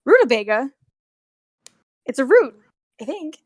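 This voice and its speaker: A woman telling work stories as a guest in a casual, animated, giggly chat with some swearing, on an amateur recording. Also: high voice, a little slow, dry recording, studio quality. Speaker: guest, costumed theme park character performer. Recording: amateur recording with bad audio.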